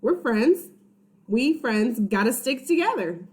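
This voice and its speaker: An excitable, loyal schoolboy pal from a storybook, put on by a woman reader. The voice sits fairly high and exclaims with emphasis, rallying a friend. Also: takes it slowly.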